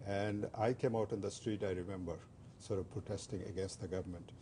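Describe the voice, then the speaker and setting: An older man from Bangladesh, a native Bengali speaker, talks haltingly with frequent "uh"s and restarts. His voice sits fairly low and sounds dark and mellow. A faint low rumble runs under the recording. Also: steady tone. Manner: hesitant, many "uh" fillers and restarts. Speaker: interview guest. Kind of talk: community TV interview.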